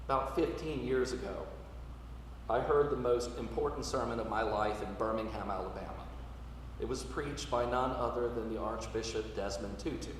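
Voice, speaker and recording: A man delivers a church sermon from some distance, his voice ringing through a long, heavy reverb with faint noise behind it. He is a priest speaking in measured phrases, pressing hard on key words and then falling back to a quieter tone. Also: mid-range voice. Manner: measured, rhetorical delivery with emphatic swells and quiet drops. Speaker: Episcopal priest preaching. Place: large church interior, live service.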